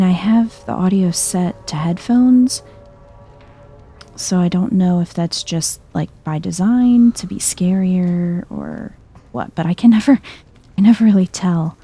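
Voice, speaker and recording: A soft-spoken woman giving chill, understated game commentary in an ASMR style, musing aloud as she plays. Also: lowish voice, a little slow, soft background music. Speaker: video game streamer.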